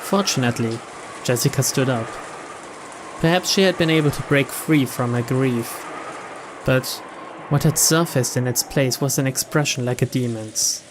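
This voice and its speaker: A man's voice: mid-range voice, moderate pace. A man giving a dramatic scripted narration of a visual novel as a let's play reader. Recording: clear background ambience noise.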